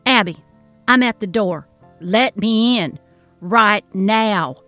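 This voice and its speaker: A woman voicing a jealous, demanding grandmother who talks loud and shrill. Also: takes it slowly, no room sound, faint music behind. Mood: shrill, frantic. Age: older. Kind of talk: dramatic reading of a text-message story.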